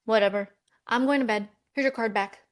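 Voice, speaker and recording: A woman's voice: on the high side, brisk, natural voice. A woman playing a skeptical, practical millennial twin sister from New York in a romcom table read. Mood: dry, bored.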